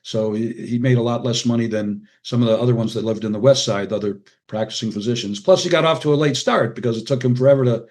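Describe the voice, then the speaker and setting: A man's voice: medium pitch, fast, hardly any pauses, darkish tone. Older American man, casual and anecdotal. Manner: conversational, anecdotal, often quoting others. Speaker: podcast guest, retired radiologist and author. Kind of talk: casual reminiscing in an interview.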